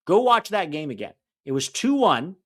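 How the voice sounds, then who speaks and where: A man's voice: on the high side, sing-song, fairly bright tone. A man co-hosting a live soccer watch-along, chatting casually and venting blunt opinions, with a mixed, hard-to-place accent. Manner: blunt, opinionated, quick to vent frustration. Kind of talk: casual live-stream match commentary and chat.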